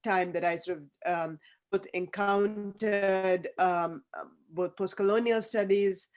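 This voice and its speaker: A person in their fifties from Sri Lanka, giving a reflective panel talk over a video call, halting and thoughtful with many fillers and restarts. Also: medium pitch, fairly even intonation. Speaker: academic panelist.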